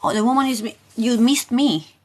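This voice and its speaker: A woman hosting a live tarot session, talking informally and haltingly to viewers, often asking questions and repeating her phrases. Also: fairly high pitch, darkish tone.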